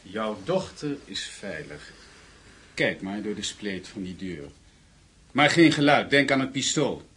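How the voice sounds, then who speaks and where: Husky, sly henchman. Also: fairly low pitch, very animated, unhurried, a bit bright, heavily acted. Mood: threatening. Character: sly, threatening male henchman posing as policeman. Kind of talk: radio drama acting.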